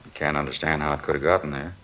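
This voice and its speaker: A man's voice: a bass voice, steady tone, racing. An actor playing a defensive, desperate male murder suspect in a 1950s radio crime drama. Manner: evasive, then frantic and shouting.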